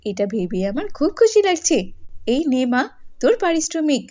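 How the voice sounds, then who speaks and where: A woman's voice: high voice, big pitch swings, moderate pace, a little mellow, lo-fi sound. A woman voicing a kindly, outspoken village aunt in a cartoon fairy tale, effusive and gossipy. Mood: delighted.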